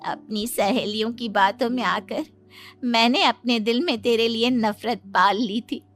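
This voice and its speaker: A woman voicing a bossy older mother-in-law with a soft heart in a moral story cartoon. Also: fairly high pitch. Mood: remorseful.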